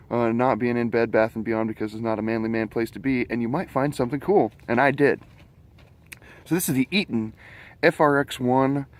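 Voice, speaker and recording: A man giving a casual, chatty product review, explaining features informally in rambling detail. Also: medium pitch. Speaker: gadget reviewer.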